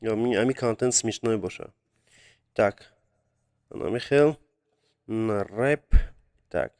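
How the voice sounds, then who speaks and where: A man's voice: on the low side, takes it slowly, darkish tone. A young man chatting casually on a live stream about drawing viewers' requests, speaking hesitantly with many fillers and Russian words mixed in, recorded on a phone.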